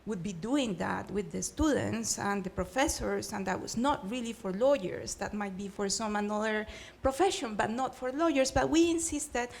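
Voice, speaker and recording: A woman's voice: medium pitch, moderate pace, creaky, few pauses. A middle-aged woman from Colombia with a Colombian Spanish accent, speaking earnestly on a panel with frequent hesitations. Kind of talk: panel discussion. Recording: faint background noise.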